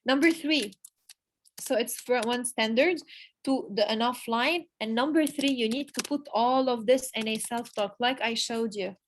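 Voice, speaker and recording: A woman giving an emphatic motivational talk to her business team, repeating key phrases and pausing for effect. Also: on the high side, slight background noise. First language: Arabic. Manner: emphatic, repetitive, pauses for emphasis. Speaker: network marketing leader giving a motivational talk. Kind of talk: motivational speech.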